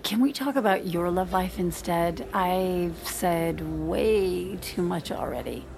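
A woman's voice: fairly low pitch, expressive, takes it slowly, creaky, full character voice. A woman acting in an audio drama as a reserved married mother in her thirties, confiding in a hesitant, self-deprecating way. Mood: guarded, confessing. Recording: music playing behind the voice.

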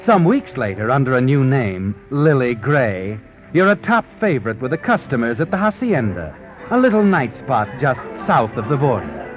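An actor playing a needling, sardonic crime-tale narrator in dramatic 1940s radio suspense style. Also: male voice, medium pitch, very animated, moderate pace, natural voice. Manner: dramatic second-person taunting. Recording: muffled old radio recording over music.

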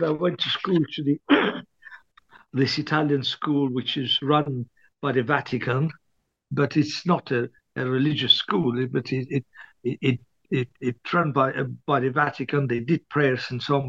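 Older man, halting and gravelly. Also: medium pitch, fairly even intonation, a bit bright, dry recording, dull audio. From Libya. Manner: halting, many restarts and throat clearing. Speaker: author, interviewee. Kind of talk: hesitant interview reminiscence.

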